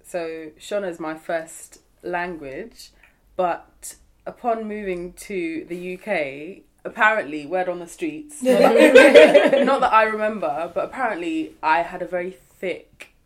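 Woman, from Zimbabwe, podcast co-host, casual, self-mocking, slightly low voice. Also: lively intonation, measured pace. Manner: laughing, self-mocking, chatty. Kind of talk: casual group chat.

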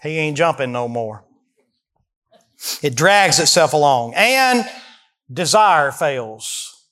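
A man's voice: a little high, lively intonation, takes it slowly, fairly bright tone. A man in his early forties with a Southern US accent preaches an expository sermon, folksy and emphatic, putting questions to his listeners. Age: early 40s. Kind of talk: expository sermon, reading verses and explaining them.

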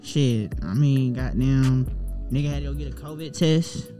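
A man's voice: mid-range voice, normal speed, mellow, soft background music. A young man, a rapper, chatting casually and telling a long story in a loose, profane way. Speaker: rapper guest. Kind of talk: casual chat.

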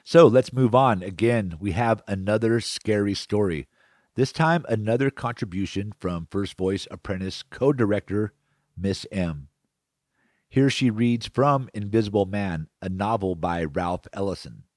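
A man with an American accent presents a radio magazine in a fairly low voice. His delivery is semi-scripted, like a host linking segments. The sound is dry and close. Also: measured pace, darkish tone, no echo.

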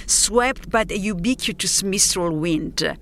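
A woman narrating her own scripted first-person story in a reflective, unhurried manner with deliberate pauses. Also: mid-range voice, a bit bright, slight background noise.

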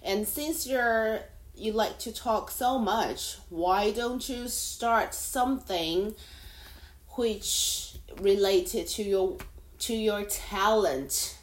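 Slow-talking woman in an echoey room. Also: medium pitch. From Taiwan. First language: Mandarin. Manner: rambling, chatty, halting second-language phrasing. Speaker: podcast host. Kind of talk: casual solo podcast monologue. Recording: roomy echo.

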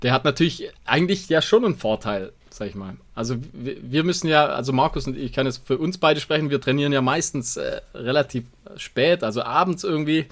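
A man's voice: medium pitch, brisk. A man co-hosting a casual podcast chat, speaking in a hesitant, jokey way. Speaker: podcast co-host.

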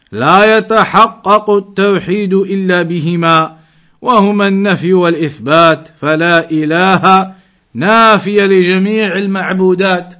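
A man's voice: high voice, pushed and bright. A man giving a religious lesson in a didactic, emphatic way, repeating key phrases and reciting quotations. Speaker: Islamic teacher.

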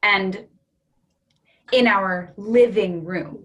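A woman reading the part of a calm, literal-minded character who keeps pressing her point, in a staged play reading. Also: medium pitch, extremely slow, muffled recording. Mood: arguing calmly. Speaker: reader in a readers theatre play.

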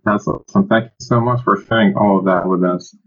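A man's voice: low voice, fairly even intonation, fairly quick. A man hosting an interview, politely thanking his guest and asking a question. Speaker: interview host. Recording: dull lo-fi audio.

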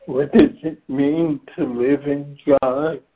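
Man, hoarse, losing his voice, very slow, measured, clears throat often, spiritual reflection, muffled phone line. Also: fairly high pitch, fairly even intonation. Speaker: participant in a spiritual reading group call. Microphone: phone on a conference call. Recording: dull lo-fi conference call line.

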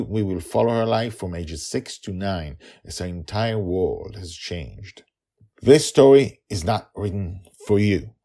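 Middle aged guy w/ a deep voice chatting to his podcast listeners, kinda halting n emphatic. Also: darkish tone. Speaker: podcast host and author. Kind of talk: informal solo podcast narration, addressing listeners.

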